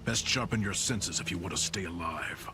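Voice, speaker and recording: A man playing a grim, resolute mercenary lieutenant in a video game, stern, grave and measured. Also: sits low, noisy background, close to an everyday voice. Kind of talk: dramatic video game acting.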